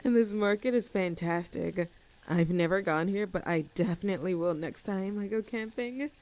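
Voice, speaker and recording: A woman's voice: mid-range voice, normal speed, darkish tone, natural voice, poor audio. A woman voicing a cheerful, easygoing young tomboy friend in an intimate roleplay audio drama, talking in a casual, chatty and affectionate way. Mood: soft, sincere.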